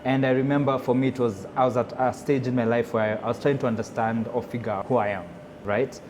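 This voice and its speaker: A man's voice: mid-range voice, steady tone, fast talker, background noise. A man from Nairobi with a Kenyan accent, talking casually and conversationally as a chef being interviewed. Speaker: chef and restaurant owner.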